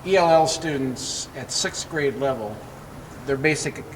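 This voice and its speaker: A man's voice: medium pitch, measured pace, distant mic, poor audio. A man hosting an interview, putting simple questions to the people he is talking with. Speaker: interviewer, show host. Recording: off-mic, clear background noise.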